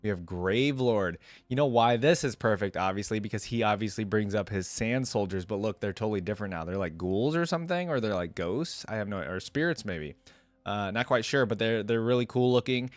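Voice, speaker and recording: A man's voice: lowish voice, a little fast, no room sound. A man hosting a reaction video, giving casual commentary in an informal, rambling way as he thinks aloud. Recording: music playing behind the voice. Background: music.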